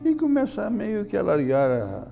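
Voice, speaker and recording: An older man answering interview questions in a halting way, often repeating his words and chuckling. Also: high voice, huge pitch swings, creaky. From Brazil. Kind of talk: reminiscing interview talk. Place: indoor room beside an altar.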